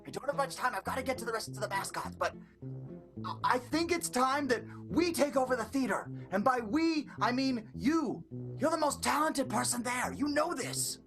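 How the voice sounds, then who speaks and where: A high-voiced, furtive schemer of a vaudeville comic acted by a woman, pitching corny gags with urgent, conspiratorial energy. The voice leaps up and down in an improvised radio play, with clear music behind it. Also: expressive, normal speed, a bit bright.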